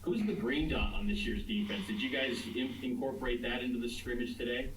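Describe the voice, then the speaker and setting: A man's voice: medium pitch, brisk. A man working as a reporter, asking a press question from off the microphone in an echoey space. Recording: echoey, noisy, off-mic media session.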